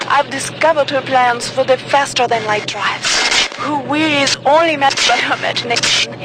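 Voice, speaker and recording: Woman acting a high-voiced, resigned captive. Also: moderate pace, very noisy, lightly acted. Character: captured, resigned woman scientist. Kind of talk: video game audio log acting.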